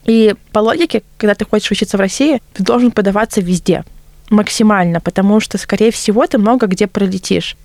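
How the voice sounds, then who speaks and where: A woman's voice: mid-range voice, fairly quick, a little mellow, no echo. A woman in her twenties from Latvia, telling her story as an interview guest in a chatty, self-ironic, conversational way. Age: 20s.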